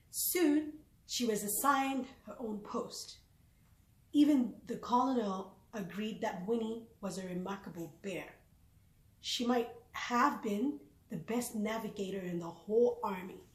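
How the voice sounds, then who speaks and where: A woman reading a children's storybook aloud expressively, with deliberate dramatic pauses. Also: fairly high pitch. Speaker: read-aloud reader. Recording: roomy echo, clear background noise.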